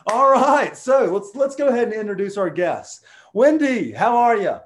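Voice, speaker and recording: A man hosts a casual podcast. His voice sits high for a man, and he talks with loud, excited bursts. He drops in slang as he goes. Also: moderate pace. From US South. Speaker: podcast host. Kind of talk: casual podcast chat and sponsor read.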